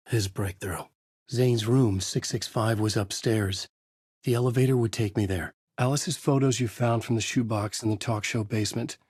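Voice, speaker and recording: An actor playing a troubled novelist in a horror video game, narrating his dark story in a husky, grave, deliberate noir style. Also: fairly low pitch, dry recording, clean studio sound.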